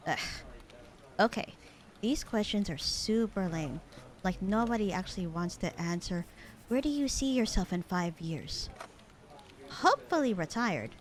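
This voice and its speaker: A woman playing a bored, sarcastic, slightly flirty secretary in a casual roleplay audio drama, with a deadpan, slangy delivery. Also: mid-range voice, unhurried, natural voice. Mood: unimpressed, sarcastic. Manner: deadpan, slangy, unbothered drawl.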